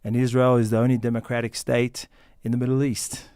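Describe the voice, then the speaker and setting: Earnest man with a smooth voice. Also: medium pitch, flat delivery, a little mellow, dry recording, studio quality. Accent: American. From United States. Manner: measured, earnest, many fillers and restarts. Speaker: company founder guest. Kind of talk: interview answers.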